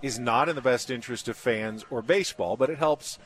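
Mid-pitched male sports radio host. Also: brisk. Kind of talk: casual live sports talk. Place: on location at a spring training complex.

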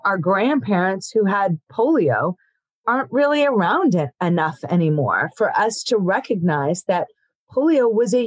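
A woman's voice: mid-range voice, husky, no room sound, poor audio. Woman doctor explaining plainly, dry sound. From born in Ethiopia, raised in Africa. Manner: explanatory, steady, frequent "you know". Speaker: physician. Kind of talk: expert explaining in an interview.

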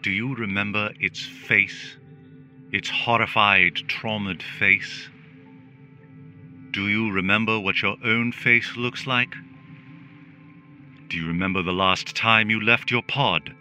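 An actor playing a caring but menacing government relaxation robot in a dystopian radio drama, putting slow, rhetorical questions. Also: male voice, on the low side, fairly even intonation, bright, forceful tone, full character voice. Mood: eerie soothing. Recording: music under the voice.

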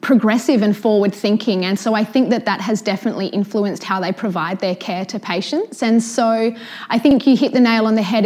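A woman's voice: mid-range voice, a little mellow. A woman speaking as a researcher guest in a podcast interview, articulate and assured.

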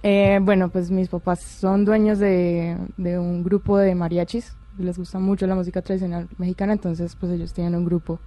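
A woman's voice: lowish voice, little pitch movement, moderate pace, few pauses, warm tone. A woman in her twenties with a Colombian accent, answering interview questions in an informal, hesitant way as a musician guest. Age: 20s. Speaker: orchestral violinist, interview guest. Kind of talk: casual, informal interview talk.

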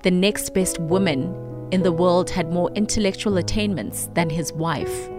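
A woman's voice: on the low side, normal speed, over music. A woman co-hosting a morning radio show, chatting casually and then reading a book passage aloud in a radio studio.

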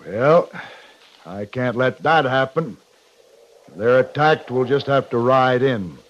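An actor playing a stern, shrewd cavalry captain in a 1950s radio western, with a clipped, authoritative manner. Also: male voice, mid-range voice, slow pace, lightly acted. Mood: firm, calculating. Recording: dull, noisy 1950s radio recording.

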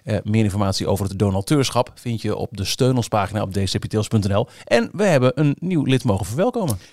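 A man's voice: lowish voice, animated, fast talker, a little mellow, dry recording. A man presenting a podcast in a casual style, speaking close to the microphone. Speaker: podcast host.

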